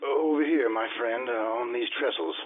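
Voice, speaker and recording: An actor playing a morbid, tormented aristocrat slipping into madness, brooding and intense in an old-time radio horror style. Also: male voice, mid-range voice, moderate pace, close to an everyday voice. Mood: morbid tenderness. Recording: muffled, band-limited radio recording.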